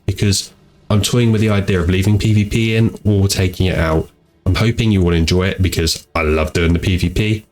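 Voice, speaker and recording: Male gaming host, deep low voice, congested with a cold, casual rambling delivery at a home mic. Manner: easygoing and rambling, repeats "go ahead". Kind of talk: casual commentary over gameplay. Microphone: home desk microphone.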